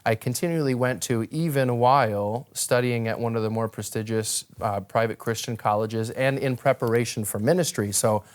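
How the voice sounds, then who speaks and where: Smooth, clear young American man. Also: mid-range voice, moderate pace, few pauses. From northern Wisconsin, United States. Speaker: interview guest, ministry worker. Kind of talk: conversational personal storytelling.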